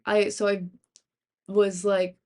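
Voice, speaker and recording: A young woman with a raspy, sore-throated voice, chatting casually to live stream viewers in a halting, rambling way. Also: mid-range voice, little pitch movement, darkish tone. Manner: halting, rambling, thinking aloud. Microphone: laptop, without usual microphone.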